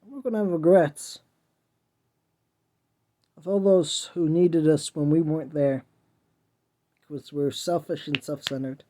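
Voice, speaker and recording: A man's voice: fairly high pitch, fairly even intonation, measured pace, pauses often, mellow. A man hosting a podcast, delivering an impassioned solo monologue in a whiny voice, earnest and preachy as he pleads with listeners. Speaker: podcast host.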